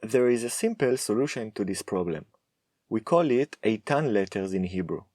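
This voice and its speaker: A man teaching a Hebrew lesson, speaking slowly and deliberately with firm emphasis. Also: lowish voice, expressive, a little mellow, no echo, clean studio sound. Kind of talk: scripted lesson to camera.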